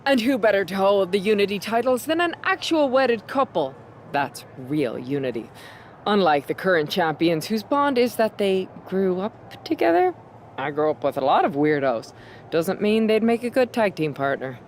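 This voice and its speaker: A woman's voice: mid-range voice, very animated, normal speed, a little mellow, a little hiss or hum, close to an everyday voice. A woman playing a cocky married wrestler in a video game, cutting a sarcastic, taunting promo. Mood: sardonic.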